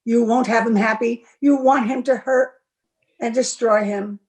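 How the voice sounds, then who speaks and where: An actress playing a proud, lovestruck young gentlewoman in an old-time radio drama, with an emphatic theatrical delivery. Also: on the high side, steady tone, unhurried, lightly acted. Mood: defiant, accusing. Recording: remote video-call recording.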